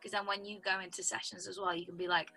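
Chatty husky young woman on a call. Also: mid-range voice, level tone, quick pace, sharp tone, soft background music. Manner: chatty, explains with lots of "like" and "do you know what I mean". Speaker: songwriter guest. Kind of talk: casual video call interview. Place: home room indoors. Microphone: video call webcam.

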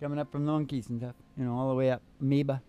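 A man's voice: mid-range voice, little pitch movement, racing, dark tone, smooth, dull audio. An older man in casual, folksy, rambling stage banter, speaking into a stage microphone. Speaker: podcast host and country musician. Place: small curtained stage indoors. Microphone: stage microphone on stand. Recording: guitar accompaniment, live stage sound.

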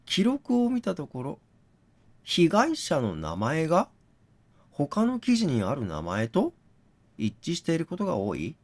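A man doing read-aloud game commentary, musing and deliberating as he thinks out loud. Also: a little high, huge pitch swings, measured pace, long pauses. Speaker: gaming channel host.

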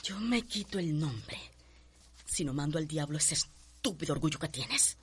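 An actress playing a haughty, headstrong young planter's daughter in a radio drama, with a Cuban accent and an imperious, cutting manner. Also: female voice, deep voice, lively intonation, moderate pace, husky, heavily acted. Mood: imperious, irritated.

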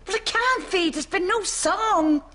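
A woman's voice: very high-pitched, unhurried, fairly bright tone. A woman acting a dry, slightly dim older neighbour in a studio sitcom. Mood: puzzled. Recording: noisy studio with audience.

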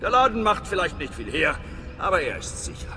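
Theatrical middle-aged spy chief, echoing. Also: male voice, high voice, big pitch swings, brisk, bright, forceful tone, full character voice. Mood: jovial, wry. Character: hearty, wry veteran spy station chief, big man. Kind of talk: video game voice acting. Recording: long reverb, game music behind.

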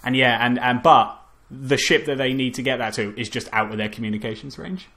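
A man's voice: mid-range voice, fairly quick, sharp tone. A man co-hosting a film review podcast, chatting casually, rambling and swearing with frequent laughs. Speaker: podcast co-host.

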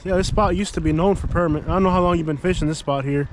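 A man with a high-pitched voice talks casually while fishing by the sea. His manner is slangy and grumbling. Clear wind and water noise runs behind him on an outdoor action-camera recording. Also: fairly even intonation, fast. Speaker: fishing vlogger. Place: outdoors in a canoe and on shore at a coastal pass.

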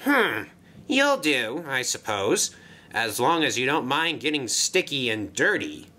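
A man's voice: mid-range voice, sing-song, unhurried, sharp tone. A man voicing a bossy, fussy male dairy manager who speaks in a haughty, curt way.

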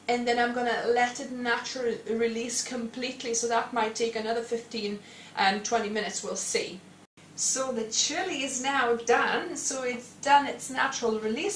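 A woman's voice: on the high side, fairly bright tone. A woman in her thirties giving a casual cooking demonstration as host. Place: indoor home kitchen. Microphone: clipped lapel microphone. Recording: echoey room, slightly distant, faint noise.

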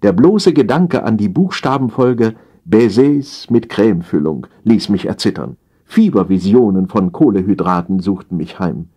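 A man reads scripted satire as an audiobook narrator. His voice is deep and somewhat raspy, and he delivers the lines theatrically with dry comedy. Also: lively intonation, moderate pace, mellow.

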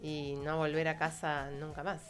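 A woman's voice: deep voice, level tone, moderate pace. A middle-aged woman, co-hosting a casual radio chat at a close studio mic. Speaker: radio co-host. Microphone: close studio microphone with headset. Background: music.

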